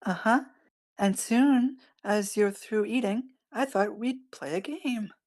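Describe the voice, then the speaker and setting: A woman's voice: medium pitch, takes it slowly, darkish tone. A woman playing a sweetly doting yet sinister otherworldly mother in a screenplay table read, coaxing and playful. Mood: sweetly eager. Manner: coaxing, playful, sing-song.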